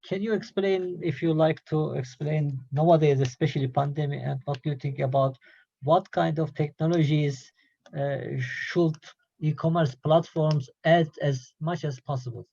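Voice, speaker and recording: A man moderating an online business meeting on a video call, halting, with many fillers and restarts. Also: fairly high pitch, fairly even intonation, gravelly, warm tone. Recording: dull lo-fi call audio, dry.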